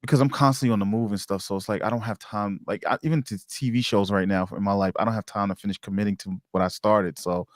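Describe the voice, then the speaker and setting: A man hosting a podcast interview in a casual, informal and somewhat halting way. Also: lowish voice, steady tone, dry recording, studio quality.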